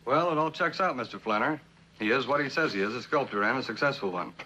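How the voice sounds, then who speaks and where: An actor playing a businesslike assistant to a district attorney in a 1960s TV drama, reporting matter-of-factly. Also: male voice, mid-range voice, background noise, close to an everyday voice. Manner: brisk, matter-of-fact reporting. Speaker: actor as prosecutor's aide. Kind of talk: 1960s television drama acting.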